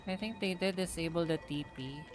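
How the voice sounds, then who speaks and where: A woman's voice: fairly low pitch, fairly quick, faint music behind. A woman with a Filipino accent, speaking casually as she live-streams a game and slipping between languages in her asides. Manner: mixes English with Tagalog in casual asides. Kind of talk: live gaming stream, reading game text aloud and commenting casually.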